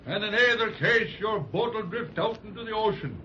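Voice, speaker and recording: A man's voice: high-pitched, animated, moderate pace, pushed and bright. A man playing a cocky, stubborn roly-poly policeman with a teasing manner in a 1930s radio serial. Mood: smug, teasing. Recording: dull, noisy 1930s radio recording.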